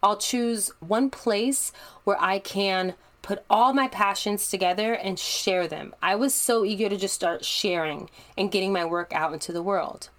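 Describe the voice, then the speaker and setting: A woman's voice: medium pitch, unhurried, a bit bright. A young adult woman hosting her own podcast, talking in an upbeat, conversational and encouraging way. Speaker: podcast host. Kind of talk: solo podcast monologue.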